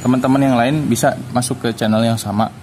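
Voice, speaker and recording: A man giving a casual hands-on unboxing review, informal and halting as he searches for words. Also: medium pitch, steady tone, noisy background. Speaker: product reviewer.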